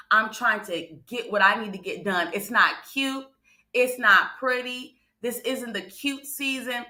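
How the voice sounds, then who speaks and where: A woman's voice: mid-range voice, sharp tone. A woman giving a motivational sermon in numbered points, emphatic and repeating phrases for stress, with conversational asides to her listeners. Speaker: motivational speaker and preacher on a morning show. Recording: roomy, echoing livestream sound.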